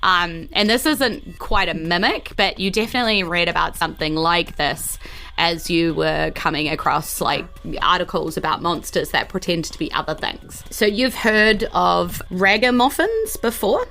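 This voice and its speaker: A woman narrating as game master in a casual, unscripted and halting, informal way. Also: fairly low pitch, animated, creaky, pushed and bright. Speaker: game master of a tabletop role-playing podcast.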